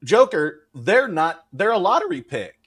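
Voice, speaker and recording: A man on a sports debate panel, speaking emphatically and haltingly, often repeating phrases. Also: sits high, big pitch swings, fairly bright tone, slightly rough.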